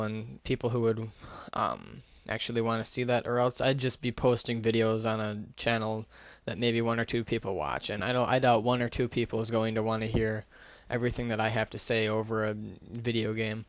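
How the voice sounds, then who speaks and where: A man's voice: medium pitch, no echo. A man giving a casual, unscripted gaming commentary into a gaming headset, talking in a hesitant, rambling, self-deprecating way. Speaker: gaming YouTuber.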